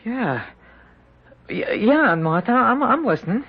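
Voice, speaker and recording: A man playing a naive young farm lad in a radio drama, speaking in a halting, hesitant way. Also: very high-pitched, big pitch swings, husky, full character voice. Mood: awed, uncertain. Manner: halting, stammering. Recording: dull, muffled old radio broadcast.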